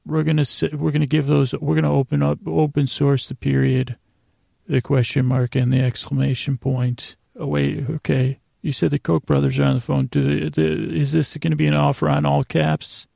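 A man hosting a bedtime podcast in a creaky, gravelly voice, meandering and halting, trailing off and circling back with deadpan goofy nonsense. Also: a little high, no room sound. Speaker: podcast host. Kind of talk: rambling bedtime sleep podcast monologue.

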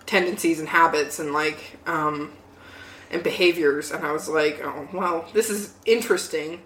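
A young woman co-hosting a podcast, telling a casual story in an animated way and laughing often. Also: low-pitched, normal speed, slight background noise. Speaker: podcast co-host. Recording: roomy echo.

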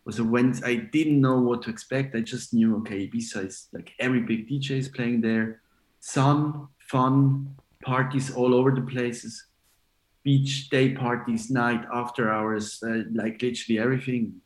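A man of forty, raised in Switzerland, talking in a relaxed, rambling way as a DJ guest, with many fillers and restarts. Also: mid-range voice, moderate pace, roomy sound, poor audio. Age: 40s. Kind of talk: casual interview chat.

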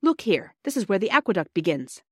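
A woman voicing a cheerful, kindly male country doctor in a storybook, brisk, jovial and reassuring. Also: medium pitch, sing-song, a bit bright, husky, no echo, studio quality. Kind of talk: storybook character dialogue.

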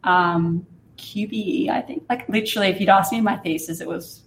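A young adult Australian woman with a croaky, hoarse voice, chatting as an interview guest in a self-deprecating way and laughing often. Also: medium pitch, steady tone, normal speed, reverberant, a little hiss or hum, poor audio. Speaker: fund manager guest. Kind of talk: casual interview chat.

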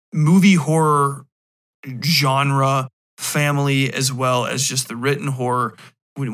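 A man's voice: medium pitch, a bit bright. A man with a mid-range voice hosts a solo podcast. He talks casually and loosely, often interrupting his own thoughts with wry asides. His language is informal and occasionally profane. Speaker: podcast host.

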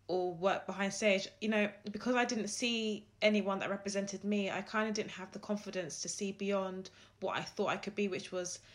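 A woman's voice: mid-range voice, fairly bright tone. Earnest woman co-host, encouraging. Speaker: podcast co-host. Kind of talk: warm reflective conversation.

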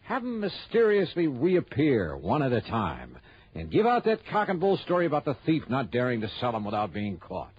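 A man's voice: medium pitch, wildly swooping pitch, normal speed, slight background noise. An actor playing a tough, sardonic male insurance investigator in a 1960s radio detective drama, bluntly accusing. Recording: muffled old 1960s radio recording.

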